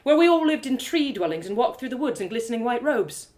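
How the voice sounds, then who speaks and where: A proud young elf warrior woman, performed by a female storyteller for a fantasy audio drama. She is spirited and lectures with emphasis, and her pitch swoops in a lively way. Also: quick pace. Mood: teasing, indignant.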